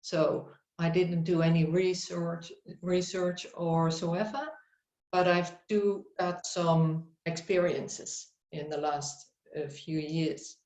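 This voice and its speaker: A middle-aged woman with a Dutch accent, a psychotherapist presenting a webinar in unhurried English and often searching for words. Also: fairly low pitch, steady tone, darkish tone. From Netherlands. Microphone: video call. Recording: roomy, dull webinar audio.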